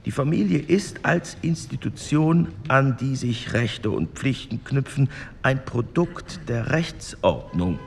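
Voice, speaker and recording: An actor playing a sober male committee chairman of the 1940s in a radio drama, lecturing in a measured, factual, creaky voice. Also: expressive. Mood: measured, authoritative. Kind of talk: re-enacted parliamentary speech. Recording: reverberant hall, faint rumbling noise.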